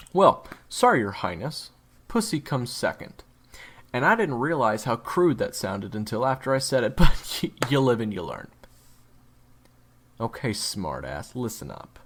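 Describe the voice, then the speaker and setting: A man's voice: mid-range voice, a bit bright, heavily acted. A man playing a crude, sarcastic young monster-hunting leader in an audio roleplay, with a wisecracking, theatrical delivery. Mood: sardonic.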